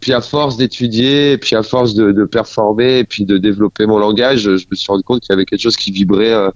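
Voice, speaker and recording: A man in his forties from France, a musician speaking as a guest in a casual radio interview, halting and searching for his words. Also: fairly low pitch, steady tone, no room sound, lo-fi sound.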